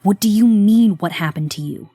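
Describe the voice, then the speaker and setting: A woman voicing an exasperated young woman in an audio drama who scolds her friend with a sarcastic, protective edge. Her voice sits mid-range with a mellow tone, and it is recorded dry and close. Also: medium pitch, moderate pace, slightly rough, no echo, soft background music.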